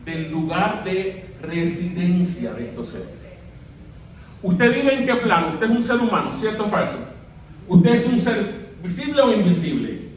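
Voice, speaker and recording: A high-voiced Puerto Rican man preaches to his congregation, asking them questions and having them read along aloud. His voice comes from some distance away, in a church hall full of strong echo. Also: sing-song, measured pace, a little hiss or hum. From Puerto Rico. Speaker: pastor. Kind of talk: sermon.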